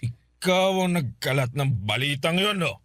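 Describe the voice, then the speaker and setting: A man's voice: fairly high pitch, expressive, a little slow, fairly bright tone, no room sound, studio quality. A man playing an abusive, hot-tempered middle-aged Filipino uncle in a radio drama, scolding and barking threats. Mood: furious.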